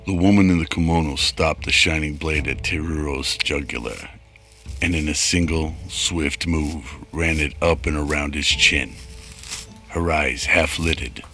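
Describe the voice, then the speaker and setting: Very deep, bright-edged male narrator. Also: fairly even intonation, a little slow, soft background music. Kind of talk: scripted radio drama narration.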